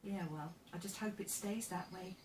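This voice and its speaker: A woman's voice: fairly low pitch, moderate pace, a little mellow, close to an everyday voice. A woman voicing a plucky, kind thirteen-year-old farm boy with an earnest, informal manner in a storybook reading. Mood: earnest, cheerful. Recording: roomy, distant mic, faint background noise.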